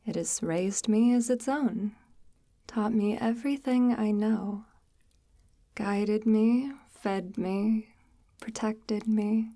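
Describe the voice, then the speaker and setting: Casting: woman as coaxing forest witch for ASMR roleplay; mellow, breathy, near-whispered, very unhurried, formal, softly menacing. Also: mid-range voice, steady tone. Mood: quietly ominous. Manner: unhurried, formal, archaic-tinged, gently menacing. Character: soft-spoken, sinister, coaxing forest witch luring a lost traveler. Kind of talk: ASMR audio roleplay, slow intimate monologue.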